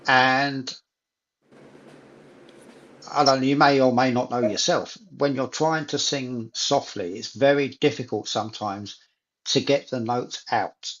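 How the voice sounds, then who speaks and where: A man's voice: medium pitch, steady tone, a little slow, a bit bright, dull audio. A man in a casual chat, talking enthusiastically and repeating phrases for emphasis.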